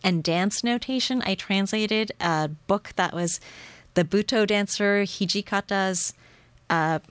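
A woman's voice: medium pitch, a little slow, clean tone, no room sound. Dry-voiced thoughtful woman, Japanese native speaker. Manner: thoughtful, musing, laughs often. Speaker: poet and translator, guest. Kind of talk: conversational interview.

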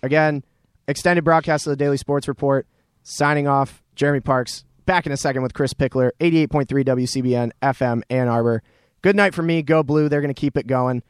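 A man's voice: medium pitch, steady tone, clear, resonant tone, no echo, studio quality. A young man hosting a college radio show, chatting casually and rambling with lots of fillers. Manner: quick, rambling, filler-heavy. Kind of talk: casual on-air chat. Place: radio studio. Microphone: studio mic.

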